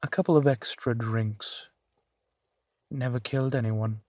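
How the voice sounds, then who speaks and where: A man's voice: mid-range voice, steady tone, a little slow, warm tone, no room sound, studio quality, natural voice. A man voicing a suave, genteel vampire centuries old, speaking unhurriedly and formally to the listener in an intimate audio roleplay. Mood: amused, charming. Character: suave male vampire, four centuries old, genteel, playfully amused host.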